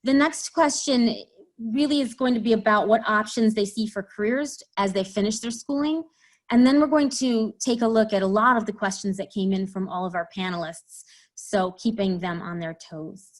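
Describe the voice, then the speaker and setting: A woman moderating an online panel, briskly thanking speakers and moving to the next question. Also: mid-range voice.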